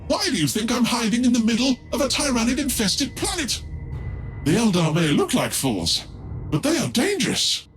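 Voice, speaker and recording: An actor playing a scheming heretical tech-priest scholar in a video game, speaking in a formal, theatrical, drawn-out way. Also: male voice, extremely high pitch, very animated, faint music behind. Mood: pleading, evasive.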